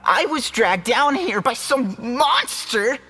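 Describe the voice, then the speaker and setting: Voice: mid-range voice, animated, slow pace, sharp tone, husky, exaggerated voice. An actor playing a confused, defensive teenage schoolboy in an audio drama.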